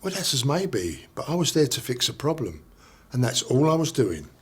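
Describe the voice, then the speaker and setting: A man's voice: medium pitch, sing-song, brisk, slight background noise, lightly acted. Guy acting a cocky veteran scaffolder, defensive and sarky, brushes off rules, training film drama. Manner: blunt, sarcastic, defensive.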